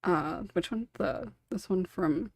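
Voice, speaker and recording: A woman's voice: on the low side, takes it slowly, darkish tone. A woman moderating a casual panel as podcast host, apologetic and reassuring, with a giggly way of talking.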